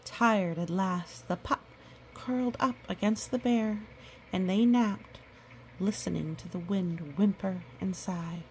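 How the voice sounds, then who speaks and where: A woman reading a picture book aloud to children, gentle and nurturing, with chatty asides. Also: mid-range voice, slow pace, a little hiss or hum, low-quality recording.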